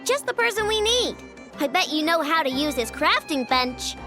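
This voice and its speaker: A woman's voice: high-pitched, big pitch swings, normal speed. A woman voicing a spirited, stubborn young girl inventor in a video game, with a breezy, boastful, coaxing manner. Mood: eager, curious. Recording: background music behind the voice.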